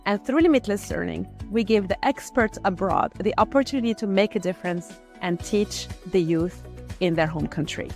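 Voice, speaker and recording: A woman's voice: medium pitch, normal speed, creaky. Algerian woman, scripted promo over music. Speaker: founder presenting an e-learning platform. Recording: music behind the voice.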